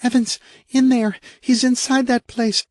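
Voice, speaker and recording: A man's voice: extremely high pitch, little pitch movement, a little slow, a little mellow, dry recording, voice pushed up. A man voicing a desperate woman crying out for her missing husband in an audiobook. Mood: frantic. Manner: desperate, pleading cries.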